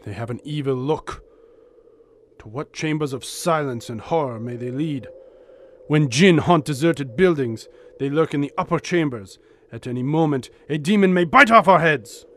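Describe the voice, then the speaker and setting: A man acts out a superstitious, loyal desert guide in an audio drama. The voice sits somewhat high for a man and speaks in solemn, ominous, old-fashioned phrases. Ambient noise surrounds it. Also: moderate pace, fairly bright tone, natural voice. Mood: wary.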